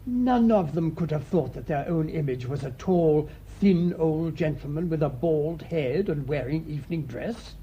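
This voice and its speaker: A British man acting a thoughtful, shrewd priest sleuth in a radio mystery, speaking in a measured, deliberate way. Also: expressive, dark tone. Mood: shrewd, assured. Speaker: actor in the lead detective role. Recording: muffled radio recording with faint rumbling noise.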